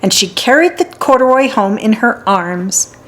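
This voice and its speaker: A woman narrating a children's story aloud in an expressive, deliberate storytelling style. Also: fairly high pitch. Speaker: storybook reader.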